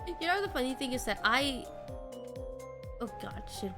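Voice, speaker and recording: A young woman chatting casually on a stream, giggly and animated. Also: high-pitched, normal speed, over music. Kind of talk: casual chat.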